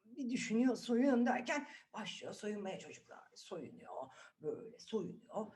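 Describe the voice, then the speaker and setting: A woman's voice: mid-range voice, very animated, normal speed, a little mellow. A woman telling fairy tales to children in a playful, chatty way, often checking in with her listeners. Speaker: children's storyteller, host. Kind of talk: animated fairy tale narration. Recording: music behind the voice. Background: music.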